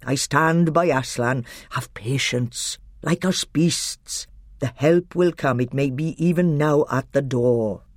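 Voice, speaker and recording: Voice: medium pitch, measured pace, dry recording, clean studio sound, natural voice. A reader voicing a loyal, patient male badger who speaks earnestly. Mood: steadfast. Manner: steady, earnest.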